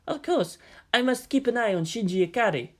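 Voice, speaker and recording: A man's voice: very high voice, animated, a little fast, fairly bright tone, voice pushed up. A man voicing a shaken, deferential ambassador in a story reading, hesitant and mumbling. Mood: resigned.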